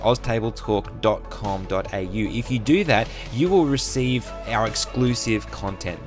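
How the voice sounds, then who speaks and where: An Australian man hosting a faith podcast, talking in an earnest, measured way. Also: medium pitch. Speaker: podcast host. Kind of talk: casual chat and podcast outro. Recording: music bed under the voice. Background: music.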